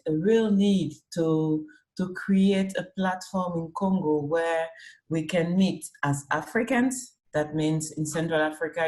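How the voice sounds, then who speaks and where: A woman from Cameroon, a comics artist and festival organizer, answering interview questions over a video call, unhurried, often rephrasing as she thinks. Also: on the low side, a little mellow. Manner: speaks slowly and searchingly, with fillers and rephrasing. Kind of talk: remote interview, thoughtful casual answers.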